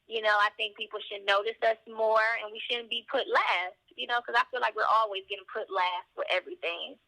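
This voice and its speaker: A woman's voice: medium pitch, steady tone, sharp tone, poor audio. A woman guest host on a podcast, talking passionately in a loose flow full of fillers. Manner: passionate, fast-flowing with many fillers. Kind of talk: casual podcast chat.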